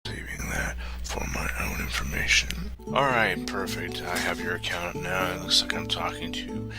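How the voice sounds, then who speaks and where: A man's voice: low voice, level tone, normal speed, loud music behind the voice, close to an everyday voice. An actor playing a creepy, flirtatious male customer service agent who comes on to a caller. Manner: smarmy, pushy flirting with a caller. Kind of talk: comedy film acting.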